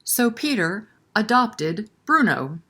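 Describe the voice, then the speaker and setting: A woman's voice: medium pitch, big pitch swings, takes it slowly, bright, forceful tone, slightly rough. A woman hosting a library story time, reading a picture book expressively and chatting to young listeners with questions. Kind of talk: picture book read-aloud with asides to children.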